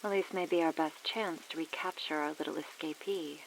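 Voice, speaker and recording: Woman acting a creaky, scheming female leader. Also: low-pitched, steady tone, slight background noise, rough recording. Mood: cool, calculating. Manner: measured, calculating. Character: cool, scheming female leader giving covert orders. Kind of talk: ASMR audio roleplay.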